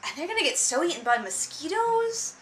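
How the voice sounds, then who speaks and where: A woman's voice: very high-pitched, big pitch swings, brisk, fairly bright tone. A woman giving casual, sarcastic commentary, with an exasperated, mocking and emphatic way of talking. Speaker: book reviewer and vlogger.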